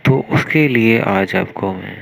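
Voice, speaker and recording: A man with an Indian accent leading a guided meditation in a measured, instructional way, pausing between short phrases. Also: fairly low pitch, creaky, slight background noise. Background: music.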